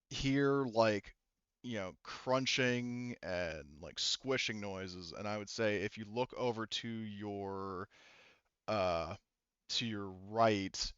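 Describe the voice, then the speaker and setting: A man running a tabletop game as game master, casually improvising narration in a halting, sweary way as he thinks aloud into a headset. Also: fairly low pitch, fairly bright tone, clear, resonant tone, no room sound, lo-fi sound. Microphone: headset mic.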